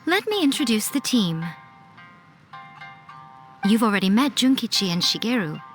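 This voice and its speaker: A woman's voice: a little high, sing-song, normal speed, soft background music. A woman playing a sly, self-assured female chief editor in a video game, poised and teasing. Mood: smug, coy. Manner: poised, teasing, lightly condescending.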